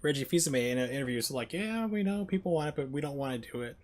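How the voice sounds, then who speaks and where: A man's voice: mid-range voice, lively intonation, rapid-fire. A man streaming a video game, giving casual, opinionated and emphatic commentary as he plays. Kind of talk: casual live-stream commentary while playing.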